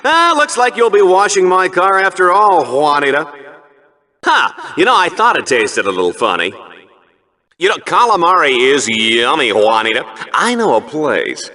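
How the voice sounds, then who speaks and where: High-pitched, flamboyant game show sportscaster. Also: male voice, normal speed. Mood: smug, flirtatious. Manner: theatrical, over-excited announcer patter with smug asides. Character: flamboyant, smarmy male game show sportscaster hyping deadly contests. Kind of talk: exaggerated sports commentary.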